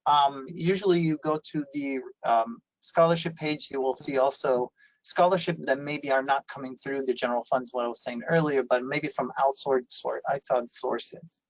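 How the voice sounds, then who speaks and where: A man's voice: medium pitch, normal speed, dry recording, low-quality recording. A man, raised in Italy, giving a webinar presentation on a video call and explaining things with many fillers and restarts. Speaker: university presenter.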